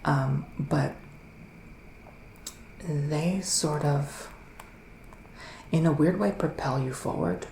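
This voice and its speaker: A man performing a soft-spoken, often whispered ASMR roleplay as a psychic witch, musing in a hesitant, drawn-out, mystical way. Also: fairly high pitch, creaky, roomy sound, slight background noise, low-quality recording. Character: psychic male witch giving a mystical reading.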